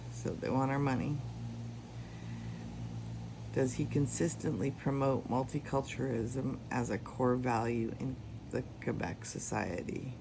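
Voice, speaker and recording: Canadian male spiritual reader, unhurried and deliberate delivery, noisy background. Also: medium pitch, vocal fry. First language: English. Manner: slow, deliberate question-and-answer delivery. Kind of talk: pendulum reading with personal commentary.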